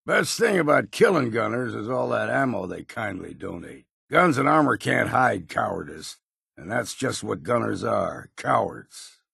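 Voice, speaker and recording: A grizzled old American hunter from a post-apocalyptic video game. His voice is low and thick with gravel, recorded dry and close. He speaks in a blunt, folksy way, gruff and wryly world-weary. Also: animated, measured pace, pushed and bright, dry recording, exaggerated voice. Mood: scornful. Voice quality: gravelly, husky. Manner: folksy, plain-spoken, blunt. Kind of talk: video game character voice lines.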